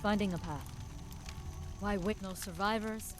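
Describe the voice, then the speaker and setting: An actress playing a tough, determined resistance commander in a strategy game, speaking in terse, commanding lines. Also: female voice, medium pitch, measured pace, over loud music, close to an everyday voice. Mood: focused, wary. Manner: terse, commanding, battle-ready. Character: tough, determined woman resistance commander in a post-apocalyptic war. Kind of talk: strategy game hero dialogue and unit barks.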